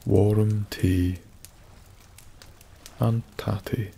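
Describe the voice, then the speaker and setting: Very slow, soft-spoken Scottish man. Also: lowish voice, fairly even intonation, vocal fry. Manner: slow, soothing, hushed delivery with long pauses. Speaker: bedtime story narrator. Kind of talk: soft-spoken ASMR bedtime story narration. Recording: faint background noise. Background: soft nature ambience.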